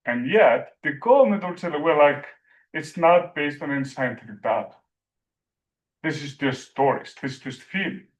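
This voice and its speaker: A man from Iceland with an Icelandic accent giving an academic presentation, speaking haltingly with many fillers like "like" and "you know". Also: a little high, animated.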